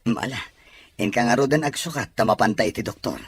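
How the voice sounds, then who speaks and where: A Filipino man playing a wealthy, protective young expectant father in an audio drama, with a raspy voice. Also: mid-range voice, a little fast, no room sound, clean studio sound, lightly acted. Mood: caring.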